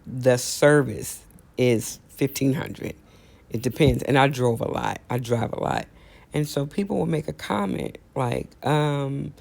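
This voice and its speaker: A man's voice: mid-range voice, creaky, a little mellow. A man hosting a casual opinion monologue, talking straight to listeners and repeating phrases for emphasis. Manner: conversational, addresses listeners directly. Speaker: host.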